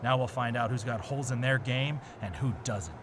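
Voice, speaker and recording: A man acting as a bitter, self-righteous wrestler in a video game, delivering an interview promo at a medium pitch. Background noise is clearly audible. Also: fairly quick, close to an everyday voice. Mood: resentful. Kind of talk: acted backstage interview promo.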